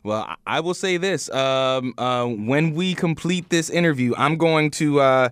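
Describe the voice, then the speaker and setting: A man hosting an interview podcast, talking casually and haltingly, repeating words as he goes. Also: fairly high pitch, fairly bright tone, clear, resonant tone, no room sound.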